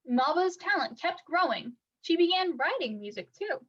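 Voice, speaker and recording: A woman reading a picture book aloud for children like a teacher, with expressive, deliberate storytelling. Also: very high-pitched, dull audio.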